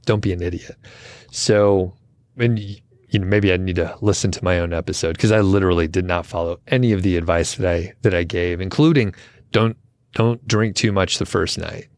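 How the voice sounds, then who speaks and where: A low-voiced man chats casually through a podcast intro, wandering off on self-deprecating tangents. His voice carries a slight gravelly edge from recent overuse. The sound is dry and close. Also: steady tone, normal speed, dry recording. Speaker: podcast co-host. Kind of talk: casual solo intro chat.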